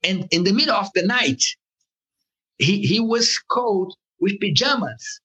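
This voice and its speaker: Male cartoonist interviewee, hesitant, husky and bright. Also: high voice, animated, measured pace, dull audio. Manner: hesitant, many fillers and restarts. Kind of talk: conversational interview answer.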